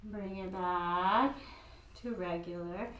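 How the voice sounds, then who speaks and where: A woman with a croaky voice guiding a gentle yoga class in an old studio, cueing calmly with light laughs. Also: on the low side, slow pace, roomy sound, muffled recording. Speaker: yoga instructor.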